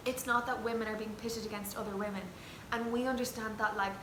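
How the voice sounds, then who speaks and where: A woman's voice: on the high side, steady tone, quick pace. A young Irish woman, a traditional singer, chatting earnestly with lots of fillers in an interview. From Ireland. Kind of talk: casual chat. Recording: echoey room with background noise.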